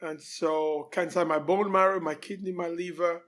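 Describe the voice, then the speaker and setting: A man in his fifties from Cameroon, telling his life story as a guest in a relaxed interview, pausing often. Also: on the high side. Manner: relaxed storytelling, frequent pauses with um. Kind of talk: conversational interview.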